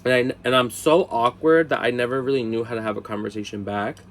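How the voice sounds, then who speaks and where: A man in his twenties telling a casual story, chatty, swearing, with lots of "like" fillers and high-voiced impressions of other people. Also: fairly even intonation, fast talker, fairly bright tone, slight background noise. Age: 20s. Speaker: storyteller vlogger.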